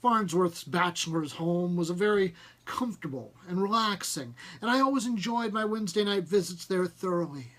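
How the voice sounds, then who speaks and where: A man's voice: sits high, fairly bright tone. A man narrating a first-person short story, reading it aloud. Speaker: story reader.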